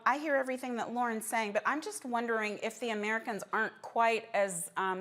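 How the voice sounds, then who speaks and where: A woman's voice: lowish voice, a bit bright. A woman moderating an unscripted political discussion, putting pointed questions to panelists. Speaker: panel moderator and show host.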